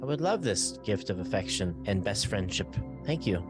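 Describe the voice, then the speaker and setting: A man's voice: lowish voice, very animated, normal speed, over loud music. A man chatting casually as a player at a tabletop game.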